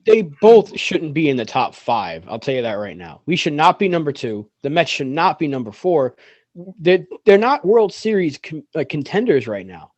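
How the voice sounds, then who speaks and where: A man's voice: a little high, sing-song, moderate pace, darkish tone, dry recording. A man on a video call podcast who is losing his voice, stating firm opinions. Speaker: podcast co-host. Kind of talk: casual sports chat.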